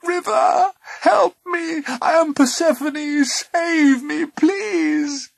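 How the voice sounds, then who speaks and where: A man voicing a frightened young woman in a storybook, crying out and pleading in a shrill voice. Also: drawn-out words, gravelly, no room sound, heavily acted. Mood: tearful pleading. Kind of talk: storybook character voice.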